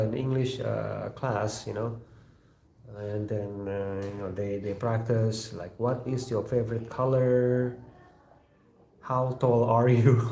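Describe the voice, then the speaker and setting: A man from West Java whose first language is Sundanese, chatting casually on a livestream, reading viewer comments, with halting speech, many fillers and frequent laughs. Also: mid-range voice, creaky, darkish tone, echoey room. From Indonesia, West Java. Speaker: livestream host.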